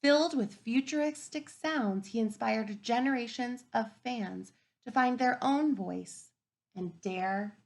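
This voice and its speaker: A woman teacher reading a picture book aloud to young children, gentle and encouraging, often dropping to a soft whisper. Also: a little high, slow, gravelly. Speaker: kindergarten teacher. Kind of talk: read-aloud storybook lesson for young children.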